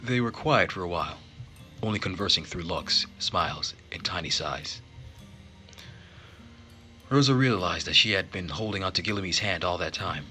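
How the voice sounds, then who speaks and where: Low, very bright-voiced man over music. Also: moderate pace, pauses often. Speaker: gaming video host. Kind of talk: visual novel narration with casual commentary. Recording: music behind the voice.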